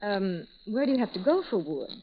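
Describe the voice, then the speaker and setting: A woman's voice: mid-range voice, very animated, brisk, slight background noise, close to an everyday voice. An actress playing a scheming female friend who gushes sweetly and answers insults tartly, in 1940s radio acting on a dull old recording. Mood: gushing. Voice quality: gushing, breathy enthusiasm turning tart. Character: scheming, gushing female friend with sharp retorts.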